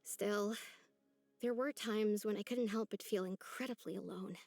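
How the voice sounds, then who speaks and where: A woman playing a gentle, lonely young village woman in a video game, speaking in an earnest, soft, hesitant way. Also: mid-range voice, fairly even intonation, a little slow, vocal fry, soft background music. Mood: wistful.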